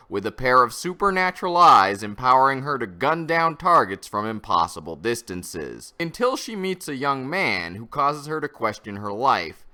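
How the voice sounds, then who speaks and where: A man's voice: medium pitch, sharp tone. A man narrating a scripted review in an opinionated, conversational way. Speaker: comic and manga reviewer.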